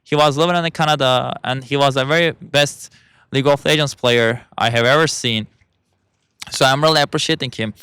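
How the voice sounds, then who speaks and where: A young man with a Turkish accent speaking English as a second language, talking in a casual monologue and often pausing to search for words. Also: fairly high pitch, sharp tone, smooth, no room sound. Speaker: vlogger.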